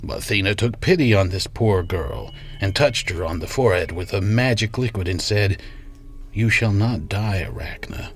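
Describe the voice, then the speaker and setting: Man playing domineering scientist tycoon, menacing, sardonic, low-pitched, radio drama acting, music underneath. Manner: imperious, deliberate storyteller, sardonic.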